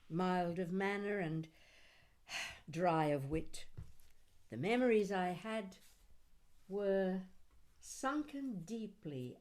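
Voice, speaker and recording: A woman's voice: on the low side, a little mellow. A mature woman poet reading their own work expressively, with a dramatic, lilting delivery and chatty introductions. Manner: dramatic, deliberate, lilting delivery that drifts into half-singing. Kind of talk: expressive live poetry recital with chatty introductions.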